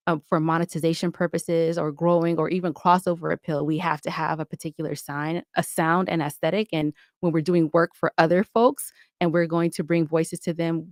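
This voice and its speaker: An enthusiastic woman in a conversational interview, explaining her points with emphasis. Her voice sits a little low, and the sound is dry and close, with hardly any room in it. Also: dry recording. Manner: enthusiastic, emphatic, explanatory, often checks with "right?". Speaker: podcast guest, audio drama producer.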